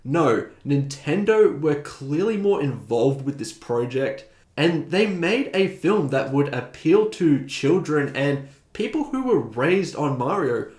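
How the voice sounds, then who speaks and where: A man's voice: a little high, big pitch swings, takes it slowly, a little mellow. A man hosting a video essay, giving opinionated, conversational commentary. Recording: roomy, echoing voice recording.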